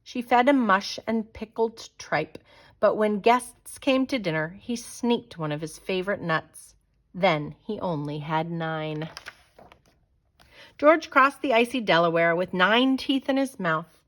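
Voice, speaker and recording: Female storyteller, unhurried, dramatic children's read-aloud, mid-range voice. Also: mid-range voice, a bit bright. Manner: dramatic, deliberate storytelling delivery. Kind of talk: expressive children's picture book read-aloud.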